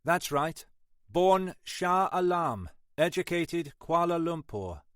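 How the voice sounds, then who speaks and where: A man's voice: fairly high pitch, animated, slow, no room sound, clean studio sound, voice pushed up. A man voicing a cocky young tech expert in his twenties with a posh English accent. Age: 20s. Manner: cocky, self-assured.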